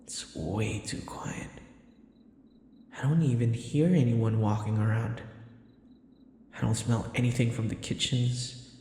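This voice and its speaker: A man's voice: medium pitch, takes it slowly, long pauses, warm tone. A man playing a devoted, nervous servant of a vampire mistress in an audio roleplay, stammering and pleading. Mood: anxious, uneasy. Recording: echoey, reverberant room with faint noise.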